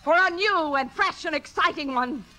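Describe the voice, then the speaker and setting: An actress playing a temperamental, sarcastic stage star with theatrical, biting delivery in 1950s radio drama. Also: female voice, very high voice, lively intonation, normal speed, sharp tone, faint music behind, exaggerated voice, low-quality recording. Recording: vintage 1950s radio broadcast.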